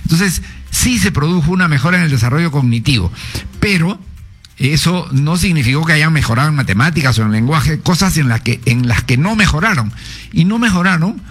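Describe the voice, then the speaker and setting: A man's voice: fairly high pitch, animated, fairly bright tone, breathy edge, soft background music. Older man lecturing on radio. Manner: professorial, measured, cites sources. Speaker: radio guest, former education minister. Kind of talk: explanatory radio interview.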